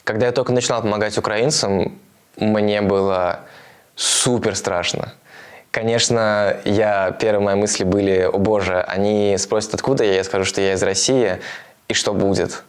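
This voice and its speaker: Moscow guy, low voice, thoughtful, lots of pauses and um's. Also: fairly even intonation, clear, resonant tone. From Moscow, Russia. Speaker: volunteer interviewee. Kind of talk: documentary interview.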